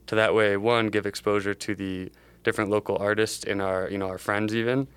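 A young man from the Chicago area speaks in a low, level voice, strikingly clean and resonant, recorded close and dry. He answers like a startup founder in a casual interview, thinking aloud in a meandering way. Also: little pitch movement, brisk, clean tone, no room sound. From Chicago area, USA. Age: young adult. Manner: thoughtful, meandering phrasing.

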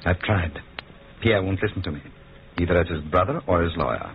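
A man playing a scheming, smooth-talking lawyer with an insinuating, persuasive manner, in 1940s radio drama style. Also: low-pitched, lively intonation, brisk. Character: scheming, smooth-talking lawyer plotting against his brother. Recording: muffled, tinny, noisy old radio recording.

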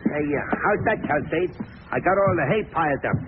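A man's voice: a little high, animated, a little fast, natural voice. A man acting in a 1940s radio drama as a dim, reluctant henchman who whines and hesitates nervously. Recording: tinny, noisy old radio recording.

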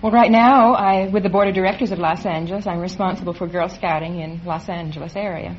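A woman's voice: on the low side, steady tone, quick pace, a little hiss or hum. A woman in her thirties from New Jersey with an American accent, answering questions as a quiz contestant. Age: 30s. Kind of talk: unscripted interview answers. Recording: muffled vintage radio, audience present.

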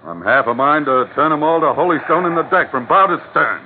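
A man's voice: a little high, animated, fairly quick, noisy background, close to an everyday voice. A man playing a cocky, sardonic first mate on a sailing ship, in 1940s radio adventure style. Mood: sardonic, teasing. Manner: sardonic banter, bellowed orders. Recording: tinny old radio recording, music behind.

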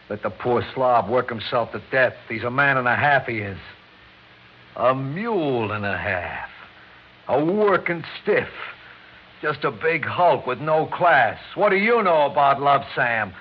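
A brooding American working stiff played by a man in a classic radio play. His rough speech swings widely in pitch as he nurses hurt and anger. The old recording is muffled, with some noise. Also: lively intonation, unhurried, natural voice. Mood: bitter, wounded. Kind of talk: old radio drama acting.

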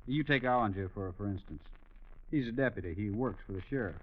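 An actor playing a cocky eighteen-year-old Wild West outlaw in an American radio western, with folksy frontier talk. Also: male voice, on the low side, fairly quick, lightly acted. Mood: defiant, wary. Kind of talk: 1950s radio western acting. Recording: dull old radio recording.

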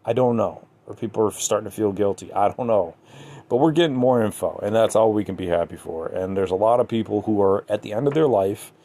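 Male podcaster, low relaxed voice, conversational and halting solo commentary addressed to listeners. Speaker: podcast host.

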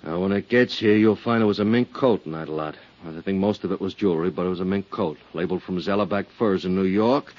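An actor playing a bitter, wheedling ex-convict in a 1950s radio drama, speaking in an aggrieved, halting, insistent way. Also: male voice, low-pitched. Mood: bargaining. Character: bitter, wheedling male ex-convict swindler, middle-aged. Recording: muffled 1950s radio recording.

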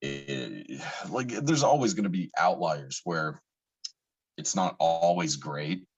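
A man with a very low, husky voice hosting a casual interview. He circles around his questions and pads them with "like" and "you know" as he goes. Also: lively intonation, slow pace, highs cut off, faint music behind.

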